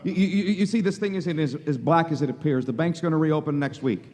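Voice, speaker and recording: A man's voice: a little high, fast talker, darkish tone, close to an everyday voice. An actor playing an earnest, flustered young small-town loan manager, stammering and repeating his words in a folksy way, in the style of a live radio play. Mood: anxious reassuring. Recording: reverberant hall, live stage performance.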